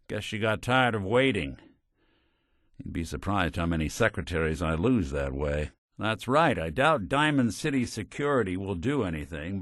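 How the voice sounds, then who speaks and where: An actor playing a world-weary American robot detective in a video game, with a wry, hesitant, hard-boiled noir delivery. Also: male voice, low-pitched, fairly bright tone, no echo, clean studio sound. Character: world-weary synth detective, a machine with an old-time cop's personality.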